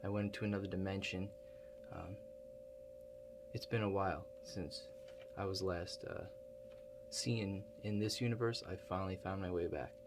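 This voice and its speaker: A man doing an ASMR roleplay, talking to the listener in a halting, musing, politely apologetic way. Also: deep voice. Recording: loud steady hum behind the voice.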